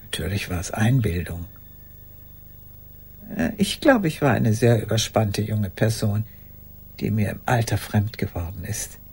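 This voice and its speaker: An actress playing an old woman in a radio play monologue, courteous and haunted, recalling the past in a halting, breathy, raspy voice. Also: rumbling low, lively intonation, a little mellow, heavily acted. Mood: musing, uneasy. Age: older. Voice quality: husky, raspy, breathy, often dropping to a whisper. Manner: deliberate, reflective, halting.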